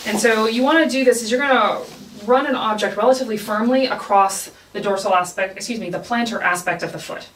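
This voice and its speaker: A woman's voice: a little high, a little fast, hardly any pauses, a bit bright, slight background noise. A woman clinical instructor explaining an exam demonstration step by step. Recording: roomy echo, distant mic.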